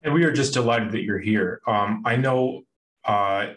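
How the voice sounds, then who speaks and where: Halting man hosting a school call. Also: fairly low pitch, fairly bright tone, rough recording. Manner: halting, many restarts and fillers. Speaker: school host.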